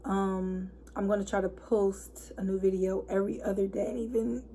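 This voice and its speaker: A hoarse woman of twenty-one, chatting casually to camera and packing her talk with fillers. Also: mid-range voice, steady tone, normal speed, dark tone, slight background noise. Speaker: vlogger. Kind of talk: casual story time chat.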